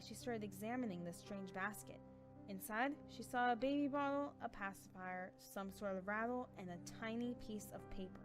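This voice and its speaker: Woman narrating a story over faint music. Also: medium pitch.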